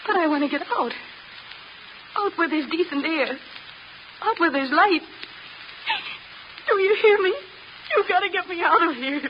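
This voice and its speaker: A woman playing a desperate, sharp-tongued young woman trapped underground in a 1930s radio horror play, impatient, insistent and scornful. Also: extremely high pitch, animated, measured pace, pauses often. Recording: muffled, hissy 1930s radio recording.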